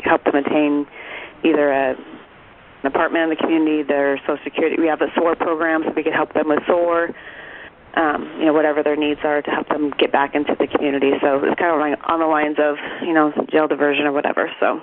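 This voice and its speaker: Tinny phone call, higher-pitched man. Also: level tone, fairly quick. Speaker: regional mental health program representative. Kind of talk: informal program update. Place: conference call. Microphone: telephone. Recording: tinny phone line with faint noise.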